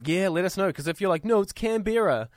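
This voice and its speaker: Male radio co-host, New Zealand accent, lively giggly delivery, close dry studio mic. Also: high voice, fast, dry recording. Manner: giggly, chatty, lots of fillers. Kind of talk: casual on-air banter. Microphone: studio microphone.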